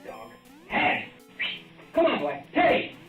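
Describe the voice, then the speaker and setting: An actor playing an excitable rural young man who raises a giant fly, in a folksy drawl that swings from hollering to hushed murmurs, in a 1940s radio play. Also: very high-pitched, takes it slowly, full character voice. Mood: coaxing. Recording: muffled old radio broadcast, faint music.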